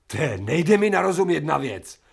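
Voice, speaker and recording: A man's voice: on the high side, sing-song, creaky, slightly rough, exaggerated voice. A cheeky dog-turned-man. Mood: insolent. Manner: coarse, cheeky, slangy. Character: crude insolent man made from a dog. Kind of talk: radio drama acting.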